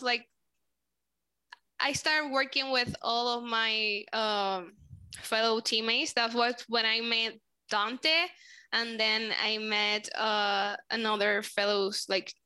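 Young puerto rican woman, spanish accent, bright highish voice, lots of um and like. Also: clean tone. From Puerto Rico. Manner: searching for words, frequent fillers. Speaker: social media manager, guest. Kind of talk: casual interview answers.

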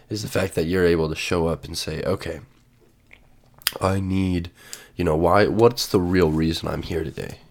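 A man's voice: low-pitched, creaky. A male podcast host with a stuffy cold, musing aloud and reading definitions with many fillers. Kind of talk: solo podcast monologue. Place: sitting at home with coffee.

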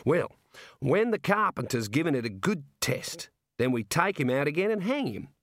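A man's voice: fairly high pitch, very animated, normal speed, fairly bright tone, no room sound, clean studio sound, voice pushed up, full character voice. Man playing a jovial Aussie prison warder. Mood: helpful, chatty. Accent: Australian. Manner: jovial, breezy, matey. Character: cheerful chatty male prison warder, jovially morbid, folksy.

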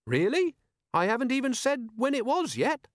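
An earnest police captain, as a male audiobook reader plays him, courteous and unflappable. The voice sits raised in pitch and is recorded dry and close. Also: wildly swooping pitch, normal speed, no room sound, heavily acted. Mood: bright, encouraging. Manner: very polite, level, earnest. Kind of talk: audiobook character voice.

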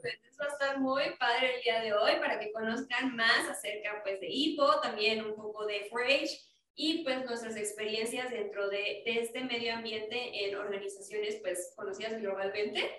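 Young woman hosting podcast, higher voice, roomy echo, bit far from mic, faint hiss. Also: steady tone, normal speed, bright, forceful tone. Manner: chatty, many fillers like "pues" and "este". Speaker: podcast host. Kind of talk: casual podcast chat. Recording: echoey room, voice a bit off-mic, faint noise.

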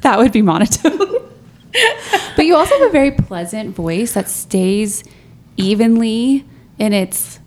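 A woman's voice: on the high side, lively intonation, a little slow, a little mellow. A woman in her thirties co-hosting a podcast, chatty and playful in casual talk. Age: 30s. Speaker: podcast co-host. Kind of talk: casual chat.

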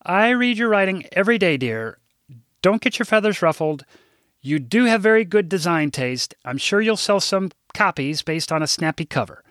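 A man's voice: high-pitched, expressive, normal speed, a bit bright, no echo, studio quality, voice pushed up. A man voicing a fussy, emotional older woman assistant who frets over swearing and fights back tears. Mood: teasing. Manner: fussy, tearful, prim about swearing.

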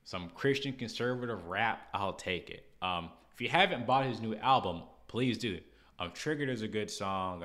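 Bright-voiced American man, blunt and slangy. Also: lowish voice. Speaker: podcast host. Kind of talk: casual opinion commentary.

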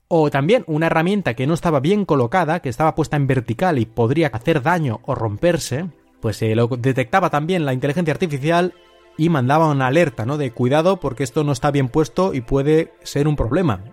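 A male podcast host with an accent from Spain speaks in a somewhat high voice. He narrates alone in a conversational, storytelling style. Faint music plays behind him. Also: a little fast. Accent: Spanish from Spain. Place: at home.

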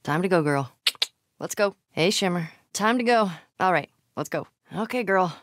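A woman's voice: on the low side, animated, moderate pace, no room sound, studio quality, natural voice. An actress playing a tough, wry, foul-mouthed young American woman in a video game, with a casual, muttering, sardonic delivery. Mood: gentle, encouraging. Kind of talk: naturalistic video game voice acting.

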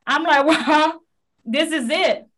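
A woman from Africa speaks with a thick West African accent in a casual discussion. Her voice sits a little high and climbs when she stresses a point. She talks in an animated storytelling way. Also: takes it slowly. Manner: animated storytelling, rising to emphasis.

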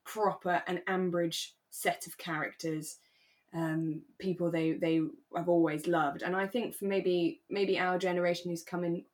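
A woman's voice: fairly low pitch, level tone, unhurried. A British woman co-hosting a podcast, talking casually about a drama series. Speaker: podcast co-host.

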